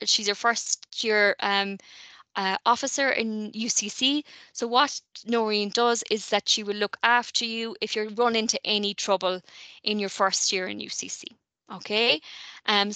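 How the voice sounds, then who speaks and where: A woman's voice: mid-range voice, bright, forceful tone, clear, resonant tone, no echo. A woman giving a friendly, chatty presentation to prospective university students, with many ums and "okay?" check-ins.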